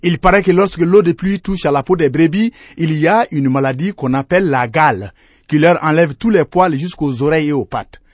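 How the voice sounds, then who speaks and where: A man voicing a scheming female hyena in a folktale, gossiping and flattering in an exclamatory, insinuating manner. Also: fairly high pitch, brisk, dry recording, lightly acted. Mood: urgent gossip.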